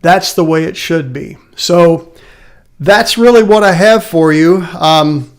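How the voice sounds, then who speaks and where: A man hosting a live market update from his office, tired and a little raspy, talking earnestly and plainly. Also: on the high side, slow pace. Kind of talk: casual live market commentary.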